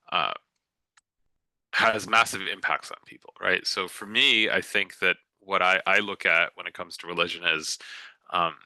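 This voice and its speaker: Low, very bright-voiced man. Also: no room sound, low-quality recording. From United States. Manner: thinking aloud, frequent "right?" tags and restarts. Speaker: guest author on a festival panel. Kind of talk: unscripted panel talk.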